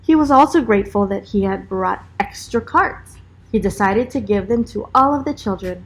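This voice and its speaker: A woman's voice: mid-range voice, expressive, moderate pace, slightly rough, low-quality recording. A woman teacher reading a children's storybook aloud expressively, with encouraging little asides to young listeners.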